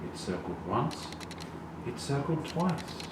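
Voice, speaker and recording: A man reading a children's picture book aloud in a deliberate, animated way, with emphasized sound words. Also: mid-range voice. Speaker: storybook reader. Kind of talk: expressive children's read-along narration. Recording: very echoey, distant, noisy room.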